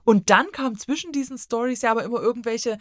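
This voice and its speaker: A woman's voice: on the high side, quick pace, a bit bright, dry recording, clean studio sound. A woman chatting casually as a guest reviewer, colloquial and emphatic in her complaints. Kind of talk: casual chat.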